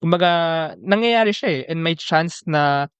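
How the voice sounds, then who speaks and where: A Filipino-accented man interviews a guest in a casual, engaged way. His voice is crisp and resonant, recorded dry with little room sound. He mixes languages as he sums up and asks questions. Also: on the high side, normal speed, no echo, muffled recording. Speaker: podcast host, interviewer. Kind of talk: casual conversational interview, code-switching.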